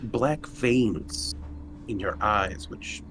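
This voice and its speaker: A man playing a tabletop roleplaying game, speaking in a casual, halting, conversational way. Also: on the low side, animated, background noise. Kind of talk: casual in-character game chat.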